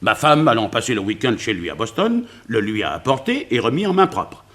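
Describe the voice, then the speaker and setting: A man playing a wealthy, worried financier in a detective radio play, speaking formally, precisely and gravely. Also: mid-range voice, sing-song, a little fast, fairly bright tone. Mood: grave, emphatic.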